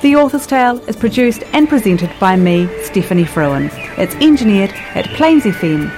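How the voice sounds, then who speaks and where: A woman's voice: mid-range voice, sing-song, normal speed. New woman presenting over music. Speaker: podcast presenter and producer. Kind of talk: scripted podcast outro narration.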